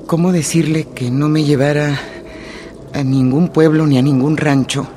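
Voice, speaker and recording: An actor playing a wounded young guerrilla fighter, narrating his story gravely and with measured pace in a radio play. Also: on the high side, steady tone, mellow, slight background noise, natural voice. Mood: subdued, pained. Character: wounded young male guerrilla fighter recounting an ambush and escape. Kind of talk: dramatic first-person narration in a radio play. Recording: radio drama with music underneath.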